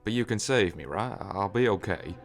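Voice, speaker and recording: A man's voice: fairly low pitch, animated, moderate pace. An actor playing an anxious crewman on a research ship, insisting with bravado in an audio drama. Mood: scared.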